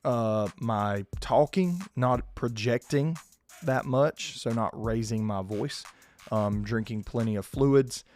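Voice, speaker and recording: A man, hoarse and losing his voice, hosting a casual sports podcast and keeping his volume down. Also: mid-range voice, takes it slowly, darkish tone, soft background music. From South Georgia, US. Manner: restrained, holding his volume down. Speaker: podcast host.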